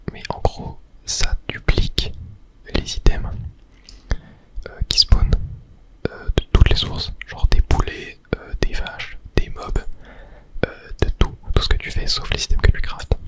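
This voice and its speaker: Someone whispering a casual ASMR commentary over a video game, thinking aloud in a breathy, unvoiced voice. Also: fairly bright tone.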